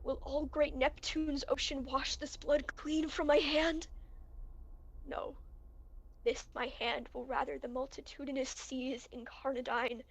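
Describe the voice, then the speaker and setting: A young woman playing a guilt-stricken, fearful lord who has turned murderer, in slow, dramatic amateur Shakespearean verse. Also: high voice, little pitch movement, rough recording. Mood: fearful, appalled. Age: young adult. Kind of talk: amateur student Shakespeare performance, recorded remotely.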